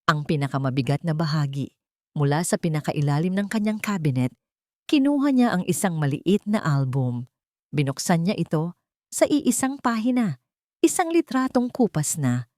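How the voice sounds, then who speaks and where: A woman with a slightly low voice reads a dramatic audio story. Her delivery is measured and solemn, and the recording is dry and close, with little room sound. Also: big pitch swings, darkish tone, no echo. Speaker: story narrator. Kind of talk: scripted dramatic audio story narration in Tagalog.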